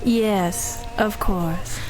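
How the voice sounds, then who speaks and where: A woman's voice: medium pitch, fairly even intonation, very slow, a little mellow, soft background music, poor audio. An actress playing a cool, teasing, evasive female stranger in a 1930s-style radio drama. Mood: coy, smug. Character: coy, smug, mysterious woman stranger. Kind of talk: 1930s radio adventure acting.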